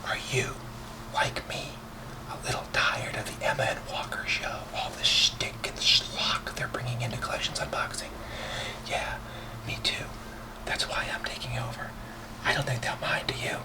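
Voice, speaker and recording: A man's voice: moderate pace, recorded from a distance, close to an everyday voice, poor audio. Sly whispering schemer, played by a man. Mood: sly, conspiratorial. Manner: hushed, conspiratorial whispering. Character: sly, conspiratorial schemer plotting a show takeover.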